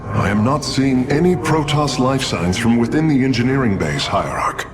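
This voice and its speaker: A mid-pitched, lively-toned alien engineer, voiced by a man, over clearly audible noise. He is thoughtful, formal and deferential, in game cutscene style. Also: lightly acted. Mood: analytical. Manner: measured, formal. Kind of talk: dramatic video game voice acting. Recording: clear ambient noise behind.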